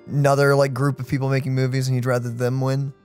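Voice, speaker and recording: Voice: medium pitch, little pitch movement, fast talker, a little mellow, soft background music. A let's play streamer giving informal, muttered commentary on a game.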